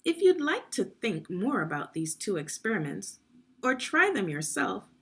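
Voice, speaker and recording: A woman's voice: mid-range voice, very animated, measured pace, fairly bright tone, slightly rough. A woman leading a guided read-aloud of a children's science book, teaching and prompting young listeners with questions.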